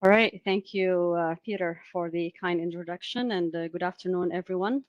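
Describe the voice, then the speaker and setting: A woman in her forties, from Syria, presenting a webinar in a measured way over a video call, with frequent "uh" and "um" fillers. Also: lowish voice, steady tone, no room sound, highs cut off. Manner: measured, many "uh" and "um" fillers, asks for next slide.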